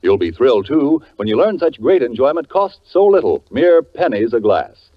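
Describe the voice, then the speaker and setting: A male commercial announcer in classic 1940s radio style. His emphatic, polished pitch rises and falls in a lively way, and the old recording sounds slightly dull. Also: normal speed. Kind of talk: 1940s radio advertisement read.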